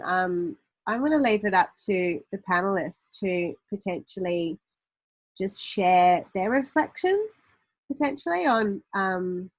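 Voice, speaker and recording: A woman moderating a panel on a video call, hesitant and gently polite with many ums. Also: mid-range voice, poor audio.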